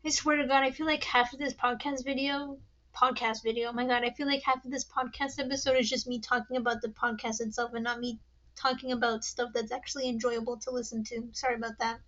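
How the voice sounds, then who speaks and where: A woman's voice: on the high side, little pitch movement, quick pace, a bit bright, lo-fi sound. A young adult woman with a young-sounding voice, hosting a casual solo podcast, rambling and nervous, swearing often and laughing at herself. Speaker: podcast host.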